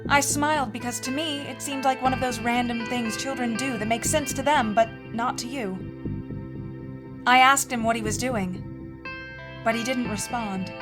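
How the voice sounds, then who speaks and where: A woman playing a haunted mother in her forties, telling a first-person horror story in a measured, earnest, confiding way. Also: a little high, lightly acted. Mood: sober, confiding. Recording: music bed under the voice.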